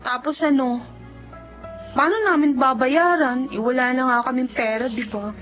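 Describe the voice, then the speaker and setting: Resilient twenty-year-old Filipina daughter, high voice, gently firm, TV drama, slightly dull sound. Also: female voice, steady tone, moderate pace. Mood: resigned. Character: selfless, resilient young working daughter who gave up studies. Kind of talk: Filipino television drama acting. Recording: dull audio under music.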